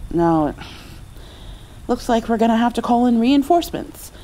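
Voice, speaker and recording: A woman playing a caring, playful mother in an intimate ASMR roleplay, soothing her grown child with gentle, halting speech and endearments. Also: on the high side, lively intonation, a little hiss or hum, natural voice, low-quality recording. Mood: wry, affectionate. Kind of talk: intimate comfort ASMR roleplay, acted as one side of a conversation.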